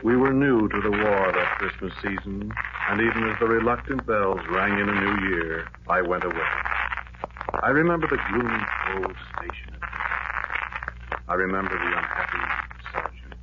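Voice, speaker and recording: An actor playing a lovelorn, haunted man in an old-time radio drama, speaking in a brooding, poetic, measured way on a noisy vintage recording. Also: low-pitched, dull audio, lightly acted. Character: lovelorn man haunted by a dreamed-of beloved, gone to war.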